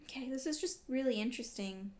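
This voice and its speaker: A woman's voice: fairly high pitch, fast, darkish tone. A woman doing a casual read-along reaction as a vlogger, talking in an exclamatory, emotionally invested way. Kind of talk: casual reaction commentary while reading.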